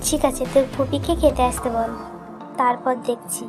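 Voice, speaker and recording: A woman's voice: sits high, fairly even intonation, normal speed, over music, natural voice. A woman voicing a scheming, conspiratorial mother in a family cartoon. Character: scheming young mother, conspiratorial with her son. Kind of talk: cartoon voice acting.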